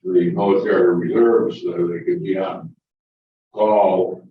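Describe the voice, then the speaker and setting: Deep-voiced man in the audience. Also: level tone, slow pace, phone-quality sound, slight background noise. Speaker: audience member. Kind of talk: audience questions and comments. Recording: very echoey room from a distance.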